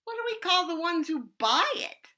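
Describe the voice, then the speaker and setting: An actress performing a stage monologue as an eccentric bag lady with a raspy voice, talking loudly and cackling, then dropping to hushed asides. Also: extremely high pitch, sing-song, normal speed, full character voice. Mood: manic. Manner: loud, cackling, swings between shouting and hushed asides.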